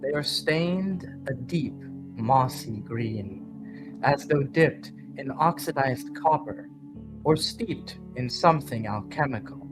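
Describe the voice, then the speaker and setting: A man reading scripted narration for a horror audio drama in a measured, dramatic storytelling manner. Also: medium pitch, lively intonation, low-quality recording. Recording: background music under the voice. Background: music.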